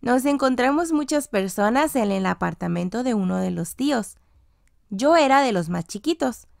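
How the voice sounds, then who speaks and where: A woman reading a scripted children's audiobook story, with expressive, deliberately phrased storytelling. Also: fairly high pitch, creaky, no room sound, clean studio sound. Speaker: audiobook narrator.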